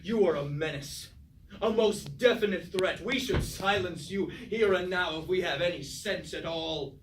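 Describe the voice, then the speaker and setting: An actor playing a hot-headed, suspicious, loyal robot warrior, accusing in formal archaic stage speech. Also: male voice, sits high, unhurried. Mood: menacing, accusing. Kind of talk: theatrical Greek-tragedy stage acting. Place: indoor room, staged reading at a university. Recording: distant, echoey recording, faint noise.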